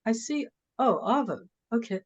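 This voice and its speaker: A woman's voice: on the high side, expressive, normal speed. A woman moderating a literary conversation on a video call, chatty and laughing between remarks. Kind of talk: casual video-call chat. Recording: muffled video call audio.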